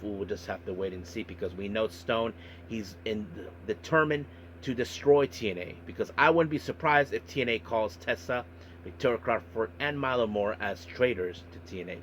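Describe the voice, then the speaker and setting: A man hosting a podcast monologue, recapping and commenting on events in a halting way with repeated filler phrases. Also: lowish voice, fairly bright tone, a little hiss or hum. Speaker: wrestling podcast host.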